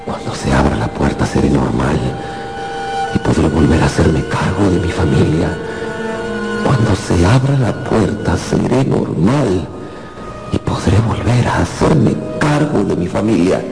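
An actor playing a despairing young man turned into an insect, muttering broodingly to himself in a gravelly voice in a radio drama. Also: low-pitched, animated, measured pace, full character voice. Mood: desperate hope. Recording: roomy echo with music underneath.

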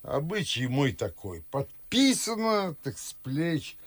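A man's voice: on the high side, sing-song, takes it slowly, no echo. An actor playing a pompous, suspicious middle-aged father and master in a classic verse radio drama, grumbling and scolding theatrically. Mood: sardonic, grumbling.

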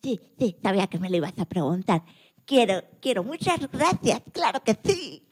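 A woman's voice: medium pitch, sing-song, moderate pace, a little mellow, slightly rough. A woman playing an eager, apologetic young girl in a children's radio play. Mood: eager, grateful.

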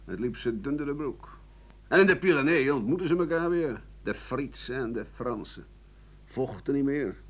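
Male actor as sardonic, self-justifying old man for radio drama monologue; husky, rambling delivery, muffled lo-fi recording. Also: mid-range voice, expressive, normal speed, close to an everyday voice. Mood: agitated, bitter, then bitter, scornful. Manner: rambling, coarse, sardonic, stammering at times. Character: bitter, self-justifying old French doctor-writer looking back. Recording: dull lo-fi 1980 radio recording.

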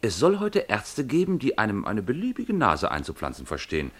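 Low-voiced, fussy, unyielding clerk. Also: male voice, huge pitch swings. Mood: firm, sceptical. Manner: deliberate, matter-of-fact, obliging but unyielding. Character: stolid, fussy male newspaper advertisement clerk, politely dismissive. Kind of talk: German radio play acting.

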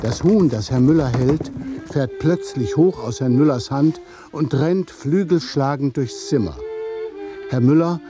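A man narrating a scripted literary radio play in a deliberate, measured storytelling manner. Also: medium pitch, over music.

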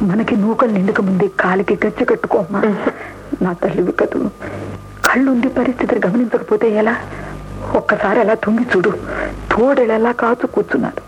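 A woman's voice: mid-range voice, slight background noise, natural voice, rough recording. A woman playing an anxious, pleading figure in a period romance radio play, speaking earnestly and dramatically.

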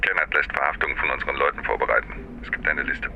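An actor playing a grim, loyal henchman in a radio drama, speaking in a terse, hushed voice. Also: extremely low pitch, level tone, very fast, gravelly, slight background noise, exaggerated voice. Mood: grim, urgent. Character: grim loyal henchman in a secret police group.

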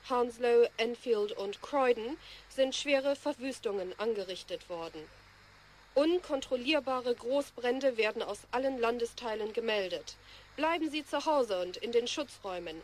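A woman's voice: a little high, a little hiss or hum, rough recording. An actress playing a sober woman announcer reading a grave, formal emergency bulletin in a radio drama. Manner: composed, official.

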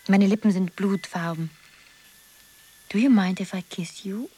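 An actress playing a dreamy, wistful young woman who muses haltingly in a poetic radio drama. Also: medium pitch, mellow, husky. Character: Zoe, dreamy young woman drifting through a surreal world.

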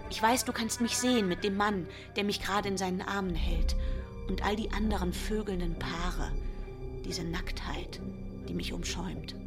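An actress playing a cold, scornful wife recounting a dream in a radio play, intimate and slipping into whispers. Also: female voice, medium pitch, fairly even intonation, moderate pace, lightly acted. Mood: detached, dreamy. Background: music.

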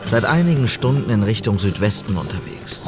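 Dutiful old west police sergeant, lowish voice, clipped and serious, radio play w music behind. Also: male voice, very animated, fairly quick. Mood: sober, determined. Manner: clipped, terse log-entry phrasing, sober and determined. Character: dutiful, earnest male police sergeant in 1890s frontier America. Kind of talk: German radio western acting and narration.

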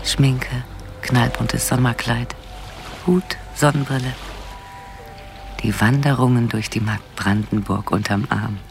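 Slow, creaky woman, furtive. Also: steady tone, over music, full character voice. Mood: wary, self-mocking. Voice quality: low, creaky, often whispery. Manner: self-mocking inner monologue. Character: wary woman posing as harmless tourist. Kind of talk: intimate radio drama monologue and dialogue.

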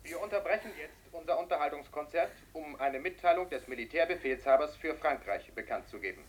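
An actor in a 1950s German radio drama playing a formal wartime announcer reading an official bulletin, heard through a radio set. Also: male voice, fairly high pitch, expressive, a little fast, a little hiss or hum. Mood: grave, official.

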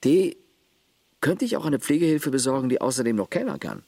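A blunt, husky-voiced villager. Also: male voice, medium pitch, sing-song, a little fast, mellow, dry recording. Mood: matter-of-fact. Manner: terse, matter-of-fact, lists points. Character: Jo, village man caring for grandfather, blunt. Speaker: character in a radio play.

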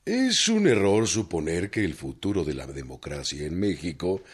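An actor playing an elderly Mexican president in a historical radio drama, grave, pompous and self-assured, with a husky voice and a Mexican accent. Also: male voice, low voice, sing-song, full character voice. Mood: solemn, self-assured. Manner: deliberate, stately, pompous.

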